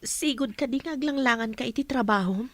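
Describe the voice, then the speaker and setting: A woman's voice: on the high side, brisk, dry recording, natural voice. A woman playing a hesitant, guarded young mother in an audio drama. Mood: questioning.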